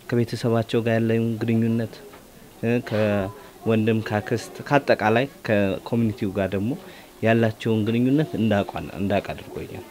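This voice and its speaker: A man's voice: on the low side, darkish tone. A man reflecting on his work in a documentary interview.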